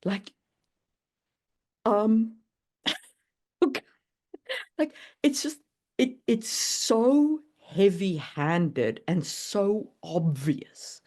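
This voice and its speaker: A woman chatting casually and opinionatedly, in an animated way, as on a writing podcast. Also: mid-range voice, drawn-out words, long pauses. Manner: animated, opinionated, laughs readily. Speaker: podcast guest discussing writing. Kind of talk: casual chat.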